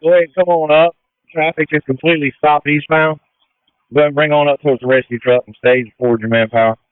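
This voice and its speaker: A man's voice: fairly high pitch, fairly even intonation, fast talker, smooth, no room sound. A man acting as a fire incident commander, giving matter-of-fact, procedural orders over a two-way emergency radio. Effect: two-way radio transmission. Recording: tinny narrowband fire radio channel.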